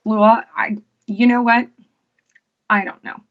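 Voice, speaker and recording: A woman, an actress, chatting casually as an interview guest, unhurried and full of fillers and restarts. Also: on the high side, fairly even intonation, dull audio.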